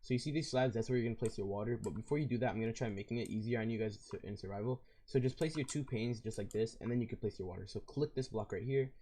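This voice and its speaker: A hoarse man with a raspy voice, walking through a game build in a casual, rambling way. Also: lowish voice, fast talker, few pauses, warm tone. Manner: casual and rambling, with fillers and self-corrections. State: hoarse, losing his voice. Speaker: video game tutorial creator. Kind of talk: casual step-by-step game tutorial.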